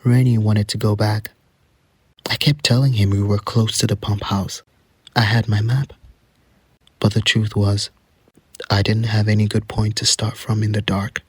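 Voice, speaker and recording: A man's voice: on the low side, a little mellow, breathy edge, no echo. An actor playing an anxious young man who recounts a night lost outdoors in an informal, hesitant way, for a horror audio drama. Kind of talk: first-person horror audio drama monologue.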